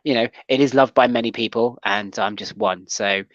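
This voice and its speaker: Halting man hosting a chat show. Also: medium pitch, a bit bright, no room sound, lo-fi sound. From Wales. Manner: hesitant, full of ums and restarts. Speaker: livestream chat show host. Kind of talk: casual chat.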